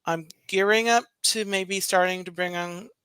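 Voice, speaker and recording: A soft-spoken man chatting casually on a live stream, unhurried and rambling, with plenty of fillers. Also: high-pitched, fairly even intonation, smooth, dry recording, rough recording. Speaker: co-host, stream guest.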